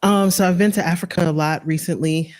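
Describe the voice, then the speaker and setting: A woman's voice: fairly low pitch, fairly even intonation, a little fast, a little mellow. A woman from Europe, an entrepreneur, casually introducing herself in conversation. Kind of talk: casual self-introduction in a group.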